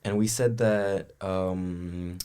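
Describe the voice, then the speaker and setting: A young man from Angola speaks earnestly in a low voice. He explains his point at length in a casual podcast chat and often restarts sentences. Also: steady tone, extremely slow, dark tone, slight background noise. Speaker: podcast co-host. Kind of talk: casual conversation.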